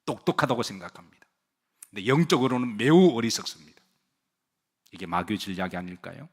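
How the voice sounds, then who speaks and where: A man's voice: fairly low pitch, animated, fairly quick, slightly rough. A male pastor preaching a sermon to his congregation, asking them questions, having them repeat phrases after him, and joking with them lightly. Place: church sanctuary. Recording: long hall reverb.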